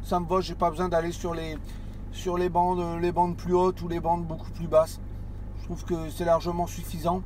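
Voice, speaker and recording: Man, high voice, hesitant, restarts a lot, CB radio operator, parked car. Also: completely flat delivery, moderate pace, a little mellow, slight background noise. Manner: hesitant, many restarts and fillers. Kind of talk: casual radio chat.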